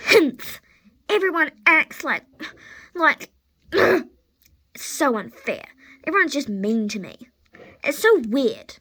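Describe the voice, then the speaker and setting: Spoiled, self-important mare in a horse story, acted by a woman: high, bright, whiny, full of grievance. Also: big pitch swings, a little slow, full character voice. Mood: indignant. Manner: haughty, whiny, complaining. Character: spoiled, indignant mare who thinks she deserved first place.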